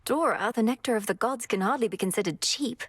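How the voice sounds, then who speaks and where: A woman's voice: on the high side, fairly quick, crowd noise, close to an everyday voice. A woman voicing an earnest young witch princess who speaks formally in a studio game. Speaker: game character.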